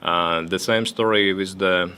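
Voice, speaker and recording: A man on a discussion panel giving his remarks, halting and often repeating words. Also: sits low, fairly even intonation, bright, forceful tone, slight background noise. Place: conference hall.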